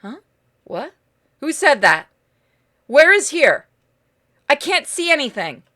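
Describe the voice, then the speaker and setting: A woman's voice: high-pitched, very animated, takes it slowly, bright, forceful tone, voice pushed up, heavily acted. A woman voicing a bewildered, anxious trapped victim in a horror game, speaking in hesitant, confused questions. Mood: disoriented.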